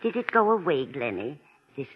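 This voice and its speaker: An actress playing a doting, sharp-witted old aunt who probes gently, in a 1940s style radio drama. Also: female voice, deep voice, sing-song, normal speed, husky, exaggerated voice. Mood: gently suspicious. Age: older. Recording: muffled old radio recording, faint hiss.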